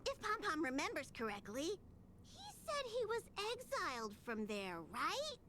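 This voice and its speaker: A woman voicing a small, cute, fussy animal train conductor in a video game, squeaky and childlike, talking in the third person. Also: wildly swooping pitch, moderate pace, sharp tone, slight background noise, full character voice. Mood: curious. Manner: speaks of self in third person, fretful. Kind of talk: video game character acting. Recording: game music behind the voice.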